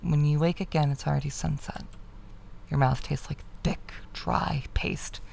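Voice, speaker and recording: A woman reading a visual novel aloud as dramatic narration, with hushed, breathy phrase endings. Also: deep voice, steady tone, measured pace, a little hiss or hum. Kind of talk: dramatic second-person story narration.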